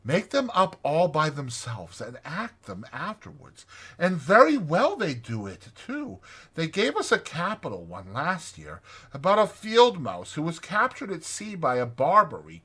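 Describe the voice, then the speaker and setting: An excitable, kindly male mole from a children's tale, played by a man. He speaks earnestly and emotionally, pitched up, with lively swings and a slightly rough, husky edge. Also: unhurried, full character voice. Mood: eager, proud. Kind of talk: dramatized children's storybook reading.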